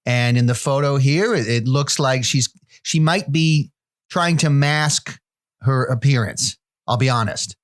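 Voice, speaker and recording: A man's voice: mid-range voice, measured pace, natural voice. A man playing a judgmental operator who describes dating profiles over the phone, hesitant and fussy, with many restarts. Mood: deadpan. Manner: hesitant, picky commentary with restarts.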